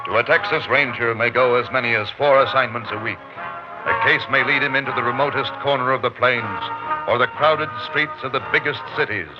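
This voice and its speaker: A man's voice: medium pitch, a little fast. A man announcing a 1950s radio show in a dramatic, declamatory scripted style. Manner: emphatic, declamatory. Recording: muffled old radio recording. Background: loud music.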